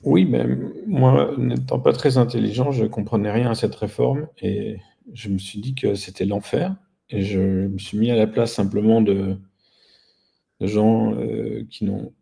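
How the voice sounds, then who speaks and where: A man's voice: lowish voice, steady tone, measured pace, gravelly, warm tone, slightly rough. A man speaking as an interview guest, explaining things casually with a wry, self-deprecating manner. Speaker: guest, company founder.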